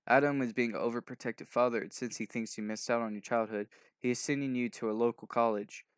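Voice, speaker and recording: A man's voice: mid-range voice, fairly even intonation, a little fast, clean tone, no room sound, clean studio sound. A sleep-deprived man hosting a loose, improvised reading aloud, stumbling and skimming through the words with offhand asides. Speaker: video host doing a fanfic reading.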